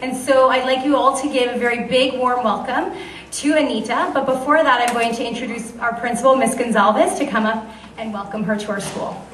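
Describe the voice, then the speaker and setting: A woman school librarian opens the event with a bright, welcoming address to the students. Her voice sits a touch high, and she sounds far away in an echoey library with a faint hiss. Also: steady tone, a little fast. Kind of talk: formal welcome announcement. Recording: distant, roomy school library.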